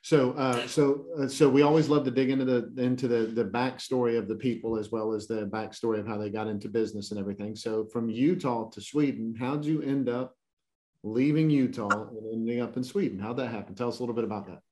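A man co-hosts a podcast in a mid-range voice. He talks in an easy, relaxed way and fills his pauses with "uh" and "so.". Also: medium pitch. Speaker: podcast co-host. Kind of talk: casual podcast chat.

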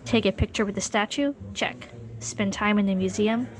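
A woman's voice: mid-range voice, steady tone, normal speed. A woman narrating a scripted audio documentary in a wry, conversational storytelling manner. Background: music underscore.

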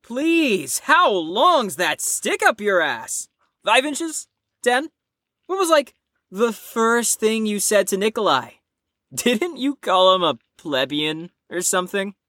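A woman voices a brash young rebel in an audio drama. The delivery is slightly slow and very animated, full of sarcastic teasing and slang, recorded dry and close. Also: mid-range voice, dry recording. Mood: mocking. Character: brash, cocky, rebellious young slacker psychiatric patient.

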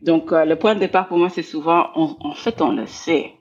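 Belgian woman, 40s, interview guest; husky, gravelly voice, thoughtful and searching, slightly muffled audio. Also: sits low, moderate pace. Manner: thoughtful, repeats words while searching for phrasing. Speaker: guest, scholar of international relations. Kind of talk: reflective interview talk.

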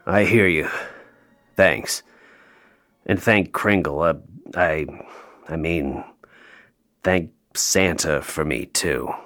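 A man playing a wounded, low-voiced male elf. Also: slow, pauses often, a bit bright, faint music behind, exaggerated voice. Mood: subdued, grateful. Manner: sarcastic, wounded, emotionally open. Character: bitter grieving adult male North Pole elf worker. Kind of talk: audio play acting.

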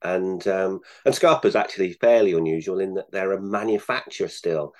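A man speaking as an interview guest, explaining things conversationally with measured, hesitant pauses. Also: low-pitched. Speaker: guest, sales director. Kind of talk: casual interview talk.

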